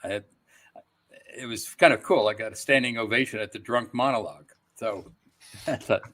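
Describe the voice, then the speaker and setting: An older man talks casually as an interview guest. His voice sits a little low, with a bright, forward edge. His manner is easygoing and chuckly. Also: moderate pace. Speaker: voice and stage actor, podcast guest.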